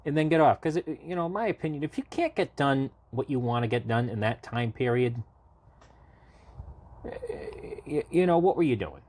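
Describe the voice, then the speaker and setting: A man's voice: medium pitch, lively intonation, normal speed, darkish tone. A man in his late twenties from Massachusetts, a guest in a casual podcast interview, talking at length with many fillers and restarts. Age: 20s. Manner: talkative, rambling, frequent fillers and restarts. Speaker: podcast guest, local party committee chair.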